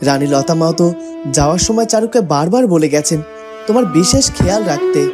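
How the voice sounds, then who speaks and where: A man's voice: on the high side, lively intonation, fast, natural voice. A man voicing a young prince in a fairytale cartoon, an earnest, caring son with a reassuring manner. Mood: concerned, urging. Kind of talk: cartoon character dialogue. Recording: loud music bed behind the voice.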